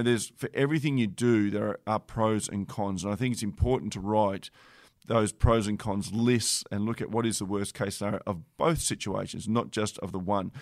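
A man's voice: medium pitch, no echo, studio quality. A man hosting a solo motivational podcast, talking in an earnest, direct way. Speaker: podcast host.

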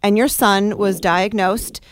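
A woman hosting a radio talk show who opens with a smooth scripted introduction and then asks her questions conversationally. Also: medium pitch, steady tone, slow pace, a little hiss or hum. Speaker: radio host. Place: radio studio.

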